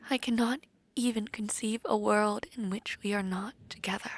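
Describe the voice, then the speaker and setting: A woman playing a tender, devoted princess bride in a romantic fantasy audio roleplay, speaking in a soft, breathy, heartfelt way. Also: medium pitch, fairly even intonation, moderate pace, natural voice.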